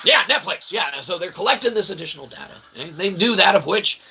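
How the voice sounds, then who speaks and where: Forceful, gravelly man on an agitated rant. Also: high voice, lively intonation, fairly quick, a little hiss or hum. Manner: profane, agitated, wordy and emphatic. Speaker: opinion vlogger. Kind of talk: unscripted rant commentary.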